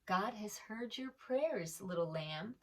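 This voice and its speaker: A woman playing a gentle young mother in a storybook, speaking softly and soothingly. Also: mid-range voice, expressive, slow. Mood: tender, calm. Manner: soft, soothing.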